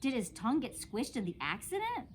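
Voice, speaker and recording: A woman puts on an excitable little girl from a children's storybook, high and swooping. The delivery is chatty, indignant and whiny, blurting like a kindergartner. Noticeable noise sits behind the voice. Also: brisk. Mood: curious, alarmed.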